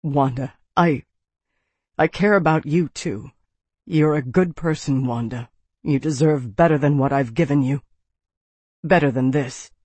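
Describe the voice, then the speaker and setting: A narrator voicing a desperate young man who speaks in a hushed, halting, resigned way, choked with regret. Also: medium pitch, no echo, lightly acted. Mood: tender, halting.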